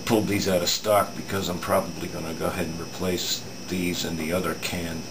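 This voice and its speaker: A middle-aged man giving an unscripted hands-on repair demonstration in a halting, matter-of-fact way, recorded at an indoor workbench. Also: low-pitched. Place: indoor home electronics workbench. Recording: steady room hum, air-conditioning noise.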